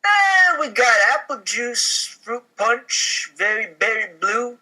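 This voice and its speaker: A man's voice: extremely high pitch, very animated, takes it slowly, bright, forceful tone, voice pushed up, exaggerated voice, rough recording. A man voicing an overly polite, eager restaurant waiter, gushing and fussy, in a comedy script.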